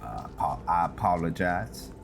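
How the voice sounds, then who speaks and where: A man's voice: low-pitched, lively intonation, normal speed, creaky. A man giving casual, meandering gameplay commentary, hesitating as he talks to himself and his viewers. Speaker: gamer, host of a roleplay series. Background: video game music.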